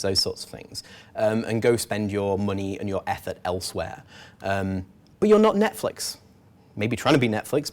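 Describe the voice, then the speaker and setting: A man in his thirties giving a casual, wry tech meetup talk at a podium microphone. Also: lowish voice. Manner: casual, filler-heavy, wry. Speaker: conference speaker.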